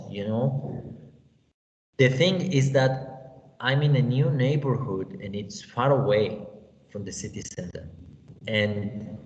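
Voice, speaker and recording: Slow Mexican man teaching online. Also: mid-range voice. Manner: loose and chatty, many fillers and "you know". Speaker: English teacher. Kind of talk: online class lecture. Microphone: laptop on a video call. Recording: echoey, dull, lo-fi, faint noise.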